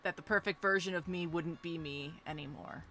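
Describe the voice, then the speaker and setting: A sick woman streaming a video game, chatting and reacting easily startled, her voice deeper than usual from illness. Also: normal speed, fairly bright tone, faint music behind. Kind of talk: let's play commentary.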